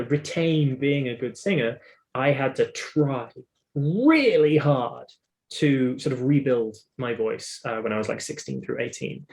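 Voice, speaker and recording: A man's voice: mid-range voice, a little mellow. A British man talking casually in an interview, explaining his own story in a talkative, emphatic way. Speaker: interview guest, singer and vocal coach.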